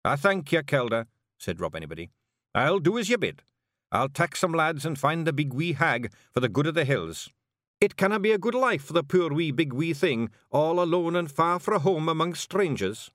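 A man voicing a tiny, fierce male pixie clan chief with a gruff, blustering Scottish accent. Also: a little high, very animated, normal speed, fairly bright tone, no room sound, studio quality, pitched above the performer's own voice. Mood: earnest. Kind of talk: audiobook character voice.

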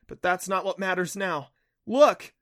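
A man's voice: high-pitched, expressive, moderate pace, a bit bright, no echo, studio quality, pitched above the performer's own voice. A man voicing a bold, clever and bossy young girl adventurer in a storybook reading. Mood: scornful, urgent.

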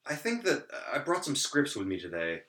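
A man talking in a dry, deadpan way for a comedy sketch. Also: mid-range voice, fairly quick, roomy sound.

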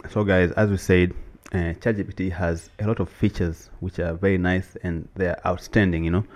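Man, very low voice, Swahili native, emphatic casual chat, lots of "you know". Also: flat delivery, normal speed, darkish tone. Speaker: co-host.

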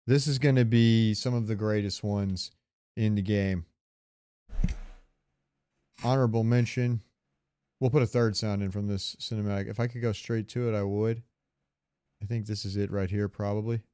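A man's voice: on the low side, moderate pace, long pauses, clear, resonant tone, no room sound. A man hosting a podcast in casual fan chat, talking freely and profanely. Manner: profane, animated retelling with quoted lines. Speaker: podcast host.

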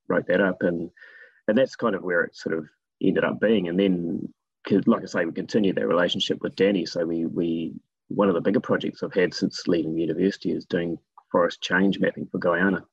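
A man with a New Zealand accent, a guest telling stories about his work in a relaxed, rambling way with many fillers. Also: deep voice, fairly quick, gravelly, husky, dry recording, dull audio. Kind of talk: casual interview talk.

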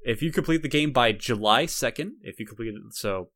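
Man, podcast co-host, medium pitch, rambling, self-interrupting, casual conversational delivery, dry close sound. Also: lively intonation, fairly quick, a bit bright, dry recording. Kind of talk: casual chat.

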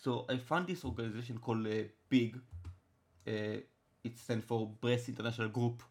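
A man's voice: medium pitch, steady tone, normal speed. A young man with an Israeli accent, a native Hebrew speaker hosting a casual podcast in English, often restarting as he searches for words. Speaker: podcast host.

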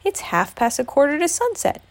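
A woman's voice: high voice, huge pitch swings, normal speed, voice pushed up, heavily acted. A woman voicing a bouncy, boisterous male tiger from a children's storybook, cocky and exuberant, with hooting exclamations. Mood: eager. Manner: hooting, exclamatory, folksy mangled words.

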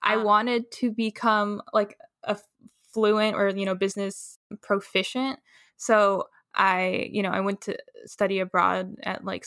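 A young woman chats as a podcast guest, wandering through her thoughts with plenty of fillers. Her voice sits at a middling pitch. The recording is dry, with no room sound. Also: fairly bright tone, no room sound. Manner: rambling, many "like" fillers. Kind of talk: casual conversation.

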